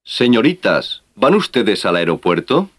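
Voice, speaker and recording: A man's voice: on the low side, big pitch swings, measured pace, fairly bright tone, full character voice. A man playing a polite customs officer who asks formal questions in a scripted language-lesson dialogue.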